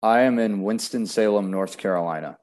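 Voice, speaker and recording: A young man from Wisconsin, a guest on a podcast, explaining his story in a measured way with a few restarts. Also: on the low side, darkish tone. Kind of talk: casual podcast interview. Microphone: remote call.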